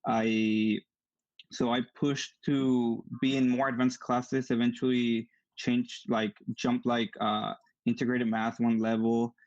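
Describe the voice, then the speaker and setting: A man's voice: medium pitch, level tone, slow, a little mellow, no room sound, dull audio. A young man from Mexico with a strong Mexican accent, a Spanish native speaker answering earnestly as an interview guest. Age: young adult. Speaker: guest, engineering student. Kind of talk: online interview.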